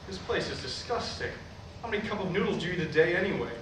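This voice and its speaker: A man plays a pompous middle-aged preacher in a stage play. He speaks with disdain and self-importance in a fairly high voice. The room rings with heavy echo. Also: animated, quick pace. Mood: disgusted. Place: staged reading filmed in a roomy venue. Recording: echoey room, far mic, faint noise.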